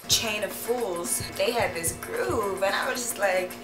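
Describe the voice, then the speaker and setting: A young American woman chatting casually to camera in a slangy, enthusiastic, expressive way. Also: mid-range voice, measured pace. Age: young adult. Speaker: choreographer. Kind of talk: casual vlog talk to camera. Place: small room indoors. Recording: roomy sound with loud music behind. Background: loud music.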